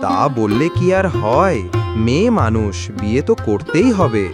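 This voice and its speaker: A man's voice: mid-range voice, big pitch swings, moderate pace, over music, lightly acted. A man playing a worried middle-aged village father in a cartoon fairytale. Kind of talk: Bengali cartoon fairytale dialogue.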